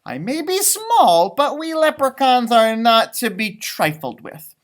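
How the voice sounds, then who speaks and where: A man's voice: very high voice, very animated, measured pace, pitched above the performer's own voice, full character voice. A man voicing a small, grumpy, boastful old leprechaun telling his own story in a storybook read-aloud. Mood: feisty, threatening. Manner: feisty, boastful, theatrical storytelling.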